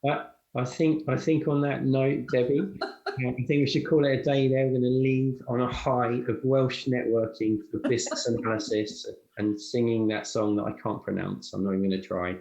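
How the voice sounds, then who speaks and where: A man hosting a podcast, talking in a thoughtful, halting way that often repeats words. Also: mid-range voice, little pitch movement, vocal fry, darkish tone, highs cut off. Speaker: podcast host. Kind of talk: casual podcast chat. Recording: echoey room.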